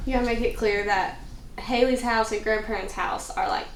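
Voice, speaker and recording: A woman's voice: on the high side, fairly even intonation, moderate pace. A woman chatting casually as one of the actors at a table read. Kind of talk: casual chat. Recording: echoey room, distant mic, faint noise.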